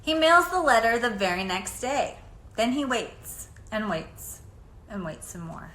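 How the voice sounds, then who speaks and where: Casting: female children's storybook reader, lively intonation and expressive, deliberate delivery, recorded in an echoey room. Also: a little high. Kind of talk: children's picture book read-aloud. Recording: echoey room, faint background noise.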